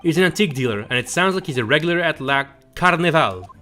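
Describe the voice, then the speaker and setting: A man's voice: on the high side, animated, fast talker, bright, forceful tone, faint music behind. A man streaming a game, reading visual novel dialogue aloud.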